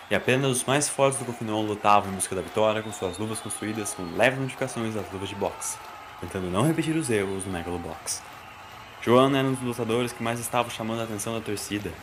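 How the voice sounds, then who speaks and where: A man's voice: medium pitch, a little hiss or hum. A man with a Brazilian accent reading a scripted, dramatic story as its narrator. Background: music.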